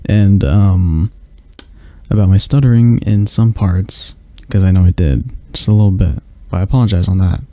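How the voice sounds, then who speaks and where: A man's voice: low voice, fairly even intonation, normal speed, warm tone, no echo. A man signing off his ASMR video in a casual, hesitant, apologetic way with many fillers. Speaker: ASMR channel creator. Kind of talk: casual outro chat.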